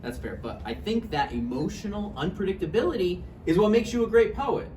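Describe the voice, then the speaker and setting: A woman's voice: mid-range voice, animated, a little fast, darkish tone, natural voice. A woman playing an earnest, apologetic live-in partner in a table read of a stage play, speaking in a hesitant, placating way. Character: earnest, conciliatory, apologetic live-in romantic partner named Roger. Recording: distant mic, roomy echo, noisy room.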